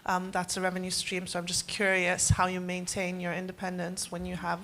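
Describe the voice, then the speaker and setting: A woman from France asking a panelist a curious, measured question from the audience. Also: fairly low pitch, monotone, fairly bright tone.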